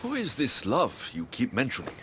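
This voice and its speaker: An actor playing a confident, flirtatious warrior adventurer in a video game, with a dry, teasing manner. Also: male voice, mid-range voice, wildly swooping pitch, moderate pace, fairly bright tone, a little hiss or hum. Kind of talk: video game cutscene acting.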